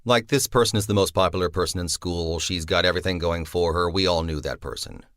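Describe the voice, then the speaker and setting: A man co-writer of the series, thinking aloud in a casual interview. His voice is very low. The recording is dry and close. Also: fairly quick, a bit bright, dry recording.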